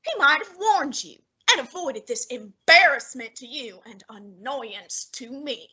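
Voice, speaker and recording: A pompous, haughty male detective, fat and lazy, played by a girl. The voice sits high and bright, and the lines come out slow and clipped for a student radio play. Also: lively intonation, husky, full character voice, low-quality recording. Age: teens.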